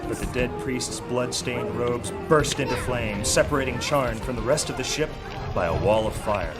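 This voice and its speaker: A man narrating a high fantasy audio drama with dramatic storytelling delivery. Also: moderate pace, over loud music, close to an everyday voice. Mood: dramatic, grave. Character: male narrator of dark high fantasy adventure.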